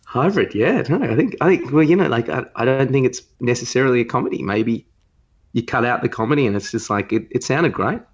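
A man's voice: medium pitch, animated, fairly quick. Hesitant man, slightly dull recording. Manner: hesitant, tentative. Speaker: podcast host. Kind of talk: casual chat.

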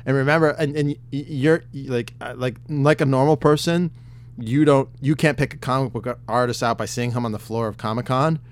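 A man co-hosting a casual podcast chat, talking in an excitable, rambling way with lots of fillers and swearing, his voice getting worn. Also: mid-range voice, brisk. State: losing his voice. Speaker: podcast host.

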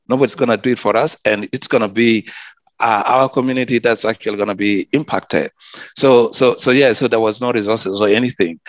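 A man's voice: mid-range voice, dry recording. Earnest man, dry sound. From South Sudan. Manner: earnest, frequent restarts and repeated words. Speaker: public health officer and community advocate. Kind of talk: interview answers.

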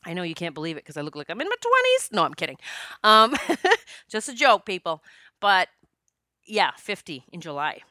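A woman's voice: on the low side, wildly swooping pitch, moderate pace, long pauses, sharp tone, no room sound, studio quality. A woman in her fifties, a past life regression practitioner, telling stories in a chatty, joking way. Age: 50s. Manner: chatty, joking, storytelling with quoted dialogue. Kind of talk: casual spiritual monologue.

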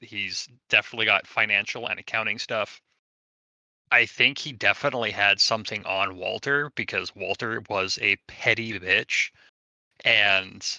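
Low, gravelly, sharp-edged man at the game table. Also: no room sound, poor audio. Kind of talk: casual in-game discussion.

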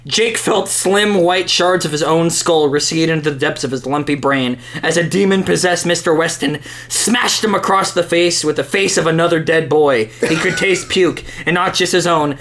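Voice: medium pitch, normal speed, hardly any pauses, fairly bright tone, slight background noise. A podcast host reading a crude comic story aloud in a theatrical, amused way. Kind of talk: dramatic comic reading of narration.

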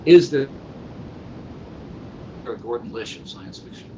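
A man's voice: medium pitch, lively intonation, unhurried. A man hosting a casual podcast panel, talking discursively in stop-start phrases with repeated words. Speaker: podcast host. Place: hotel at a convention. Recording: dull, noisy internet-call recording with room ambience.

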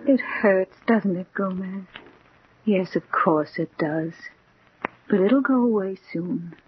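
Woman playing a slow, soft-voiced femme fatale. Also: natural voice. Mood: soothing, sinister. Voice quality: low, soft. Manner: slow, coaxing, hushed. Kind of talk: 1940s radio drama acting. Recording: muffled old radio, faint hiss.

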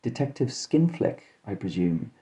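A man's voice: medium pitch, big pitch swings, measured pace, dark tone. A man voicing an evil, hairy doctor in a comic horror tale, growling and sneering grandly. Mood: growling, forbidding. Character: evil hirsute doctor, a sneering werewolf-in-waiting mastermind.

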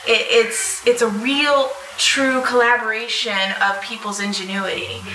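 A woman's voice: a little high, steady tone, unhurried, pushed and bright, slight background noise. Earnest woman, distant in an echoey room. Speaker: panelist. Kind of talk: casual panel discussion. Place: live convention panel.

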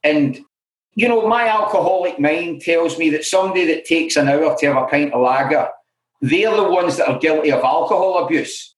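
Middle-aged glasgow guy, scottish accent, talking straight and frank, bit higher voice, lots of you know, roomy echo. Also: normal speed. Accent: Glaswegian Scottish. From Scotland. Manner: frank and self-deprecating, full of "you know" asides. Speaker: interview guest in alcohol recovery. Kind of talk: candid conversational storytelling. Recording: roomy echoey room.

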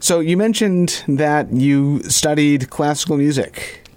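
A man's voice: fairly high pitch, slow, a little mellow. A male radio host speaking in a studio. He keeps it upbeat and short, asks easy questions, and drops in station announcements. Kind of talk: radio interview hosting.

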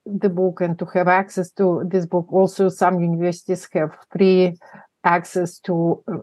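A middle-aged woman with a Russian accent, a family therapist answering interview questions in halting speech full of pauses and fillers. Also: on the low side, flat delivery, darkish tone, dry recording.

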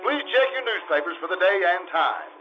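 A man's voice: high voice, lively intonation, a little fast. Guy doing 50s radio announcer, formal and official, reading a script, bit of music, muffled sound. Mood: formal, authoritative. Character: formal, authoritative male 1950s radio announcer. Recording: dull lo-fi audio.

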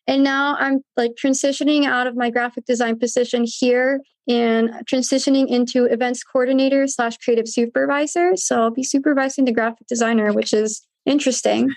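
A woman's voice: sits high, fairly even intonation, fairly quick, hardly any pauses, no room sound. A young woman from San Diego, a design student, talking chattily with frequent "like.". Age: 20s. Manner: chatty, uses "like".

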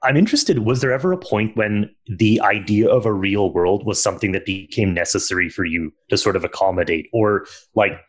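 A man's voice: deep voice, husky. A man co-hosting an interview over a video call, asking long, searching questions with fillers. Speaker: co-host, managing editor.

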